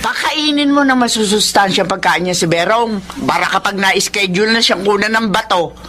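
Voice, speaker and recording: A woman's voice: medium pitch, moderate pace, a bit bright, natural voice. An actress playing a brash, sly woman broker in a radio drama, exclaiming and wheedling. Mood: smooth, sly. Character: brash, scheming woman broker named Pining, defensive and sly.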